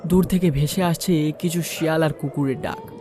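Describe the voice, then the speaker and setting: A man's voice: a little high, steady tone, moderate pace, background noise. A man narrating a first-person horror story and acting out its dialogue.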